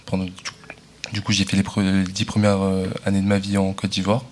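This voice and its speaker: A young man, a student guest on live radio, speaking in a calm, plain and even way. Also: sits low, fairly quick, a little hiss or hum. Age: young adult.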